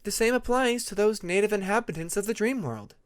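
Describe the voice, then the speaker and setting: Narrator putting on a young female dragon, high lilting voice, earnest, thoughtful, measured, fantasy audiobook. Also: animated, natural voice. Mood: explaining.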